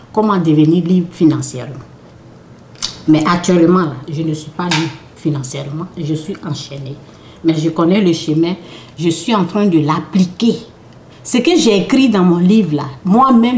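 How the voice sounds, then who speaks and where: A woman talks through a spiritual lesson in an informal, unscripted way. She often checks that her listeners follow and repeats her phrases as she goes. Her voice rings around a roomy space with noticeable echo. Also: lowish voice. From Côte d'Ivoire. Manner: restarts and repeats phrases, speaking off the cuff. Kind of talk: informal spiritual teaching to an audience.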